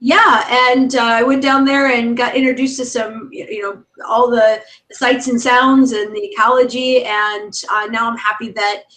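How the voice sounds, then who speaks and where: A woman's voice: fairly high pitch, flat delivery, normal speed, a bit bright, poor audio. A woman who founded a tour company, presenting slides informally with many ums and restarts and laughing easily.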